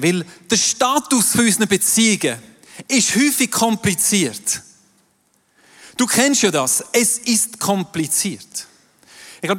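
High-voiced Swiss preacher in a big hall. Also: male voice, fairly bright tone. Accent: Swiss German. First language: French. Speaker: pastor. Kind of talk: sermon. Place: large hall with audience. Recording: distant voice in a reverberant hall with crowd.

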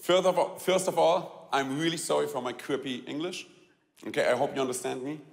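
A man with a German accent tells a personal story to a live audience, halting and repeating words, laughing often and asking the audience questions. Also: fairly high pitch, fairly even intonation, vocal fry, in a large hall. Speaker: speaker telling a personal story.

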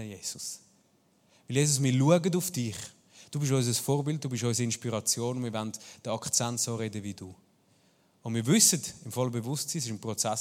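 Earnest male preacher in reverberant hall. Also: mid-range voice. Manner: earnest, searching phrasing with restarts. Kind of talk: sermon with guided prayer.

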